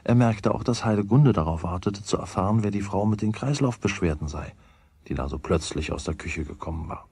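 A man's voice: on the low side, animated, brisk, darkish tone, husky, dry recording, heavily acted. A man narrating a children's radio play as its scripted storyteller. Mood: measured.

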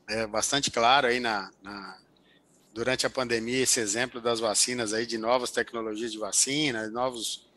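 Bright-voiced older man on a headset. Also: medium pitch. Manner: explanatory, many fillers and restarts. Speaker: agricultural researcher guest. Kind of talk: remote interview answers. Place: home office indoors. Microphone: headset over video call.

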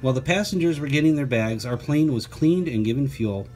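A man reading a children's picture book aloud as a teacher, pausing for conversational asides and questions to his young listeners. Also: medium pitch, animated, darkish tone, faint music behind.

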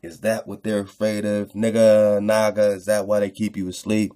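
Male radio host, very deep pitch, dark relaxed tone, slangy, freewheeling commentary, repetitive emphatic phrasing. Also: normal speed. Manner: repeats phrases for emphasis, slangy direct address to listeners. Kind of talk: casual freewheeling commentary on texts read aloud.

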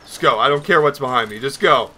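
A man's voice: mid-range voice, quick pace, sharp tone. A man giving casual let's play commentary, rambling nervously and talking to himself as he plays. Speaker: gaming video host.

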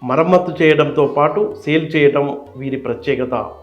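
Higher-pitched man narrating, slightly roomy sound. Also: normal speed. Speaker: narrator and interviewer. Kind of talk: scripted documentary narration. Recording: slightly dull, roomy sound with faint music.

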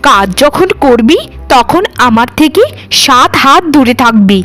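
A woman's voice: high-pitched, lively intonation, a little slow, fairly bright tone. A woman voicing a haughty rich mistress. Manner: scornful, commanding. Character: haughty rich mistress, later sick and humbled. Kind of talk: cartoon voice acting.

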